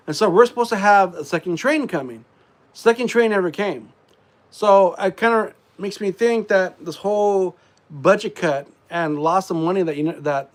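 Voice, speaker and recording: Congested man rambling on a live stream. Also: a little high. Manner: rambling, frequent fillers and throat clearing. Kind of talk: casual live stream chat.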